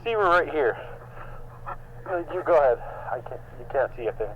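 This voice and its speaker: A man playing a casual guy who haltingly reassures a companion, in naturalistic found-footage horror style. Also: fairly high pitch, lively intonation, natural voice. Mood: uneasy, reassuring. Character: young man leading a companion through dark woods, reassuring. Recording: muffled, noisy camcorder-style audio.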